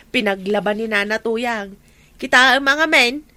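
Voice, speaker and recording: A woman's voice: on the high side, unhurried, pushed and bright, no echo. A woman playing a matter-of-fact, teasing neighbour in an Ilocano Filipino comedy drama. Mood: amused, matter-of-fact.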